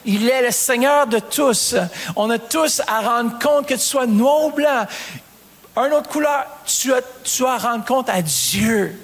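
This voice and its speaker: A man's voice: very high voice, unhurried, in a large hall. A man, preaching a sermon as pastor, calling out to the congregation with rising emphasis. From Canada.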